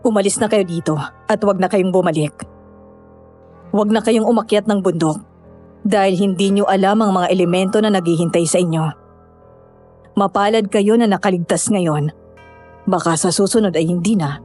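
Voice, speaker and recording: A woman's voice: medium pitch, normal speed, pauses often, darkish tone, faint music behind, lightly acted. A woman playing a knowing, solemn villager who explains and cautions in a horror audio drama. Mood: warning.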